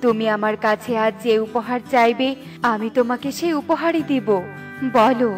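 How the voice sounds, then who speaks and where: A gracious fairy performed by a woman speaks in a high voice with generous, appreciative warmth of manner. It is cartoon fairy-tale dialogue with music underneath. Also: normal speed, lightly acted.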